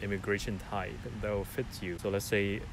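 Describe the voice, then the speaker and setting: A man's voice: fairly low pitch, level tone, a little fast, noisy background. A young man with a Vietnamese accent, a graduate student, answers interview questions thoughtfully and often repeats words while he thinks.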